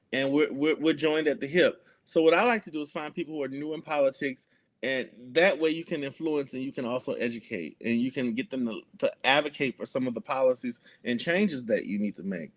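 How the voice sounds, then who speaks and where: A man's voice: a little high, fairly bright tone. A man, speaking earnestly as an activist guest in an interview, with many fillers and restarts. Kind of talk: conversational interview.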